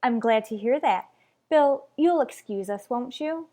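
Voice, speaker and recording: Woman acting high-voiced, anxious radio soap mother. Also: lively intonation, unhurried, mellow. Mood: overwrought, doting. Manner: emotional, earnest, emphatic. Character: anxious, clinging mother, melodramatic and defensive. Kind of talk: old-fashioned radio soap opera acting.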